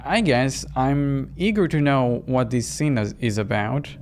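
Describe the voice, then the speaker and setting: A man's voice: medium pitch, animated, a little slow, a little mellow, a little hiss or hum, natural voice. A man reading the part of a wary, plain-spoken young woman narrating a horror visual novel. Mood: wary, frank. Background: music behind the voice.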